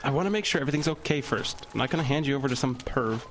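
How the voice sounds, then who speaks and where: A man's voice: mid-range voice, rapid-fire, a little hiss or hum, natural voice. A man playing a sarcastic older brother. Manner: sarcastic, teasing. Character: cocky older teenage brother Jeffrey.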